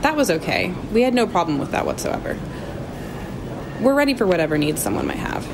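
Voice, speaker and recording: An actress playing an accepting, matter-of-fact homeless shelter worker giving interview testimony in an audio drama. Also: female voice, on the low side, wildly swooping pitch, brisk, music in the background. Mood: accepting, reassuring. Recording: noisy location recording with ambience.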